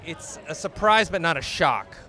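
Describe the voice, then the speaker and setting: A man with a bright, slightly high voice, co-hosting a casual movie chat. He talks hesitantly, stopping and restarting his sentences as he searches for words. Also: animated, moderate pace. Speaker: co-host reading viewer questions. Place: outdoors at a busy convention.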